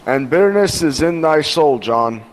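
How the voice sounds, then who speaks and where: A man's voice: fairly high pitch, animated, measured pace. Man as a solemn, pitying old priest. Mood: solemn concern. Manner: solemn, archaic preaching delivery. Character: gentle, pitying, pious old male priest. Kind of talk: old-time radio melodrama acting.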